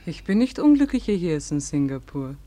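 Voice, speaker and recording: Disillusioned young daughter, swooping intonation. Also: female voice, low-pitched, very animated, a little fast, warm tone. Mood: detached. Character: young daughter, disillusioned, coolly wise, lonely. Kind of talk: German radio drama acting.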